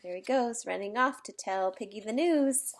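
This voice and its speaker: High-pitched woman reading a picture book. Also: normal speed. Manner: sympathetic asides about the characters. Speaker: read-aloud storyteller. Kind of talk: children's picture book read-aloud with commentary.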